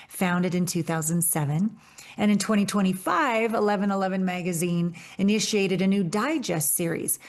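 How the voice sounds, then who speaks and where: A woman in her fifties, a podcast host, giving an effusive, gushing welcome and guest introduction. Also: medium pitch. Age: 50s.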